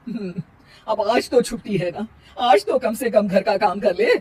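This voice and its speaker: A woman's voice: mid-range voice, measured pace, darkish tone, breathy edge, rough recording. A woman playing a tired older mother-in-law in a family cartoon, nagging and sarcastic with emphatic delivery. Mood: scolding.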